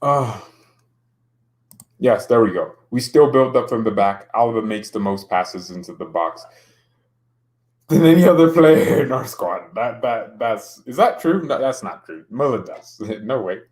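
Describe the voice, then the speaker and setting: A man's voice: medium pitch, animated, a little slow, a little mellow. A young man casually streaming about football, joking around and reading out chat comments. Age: young adult.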